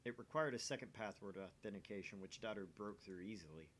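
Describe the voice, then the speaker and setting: Brief: male let's player, lower voice, expressive story narration, faint rumbling noise. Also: fast talker. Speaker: let's player reading a visual novel aloud. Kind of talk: voice-acted read-through with casual asides.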